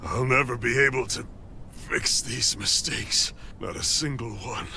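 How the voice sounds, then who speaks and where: An actor playing a weary, regretful captive rebel in a video game cutscene, somber and halting, with a gravelly voice. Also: male voice, mid-range voice, sharp tone, a little hiss or hum, full character voice. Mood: weary, remorseful.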